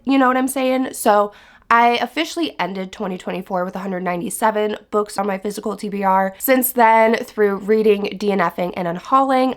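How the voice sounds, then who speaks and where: A young woman talks straight to her viewers in a casual vlog. Her voice is stuffy and hoarse from being sick, but she stays chatty and upbeat. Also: medium pitch. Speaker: book vlogger. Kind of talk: casual vlog chat to camera.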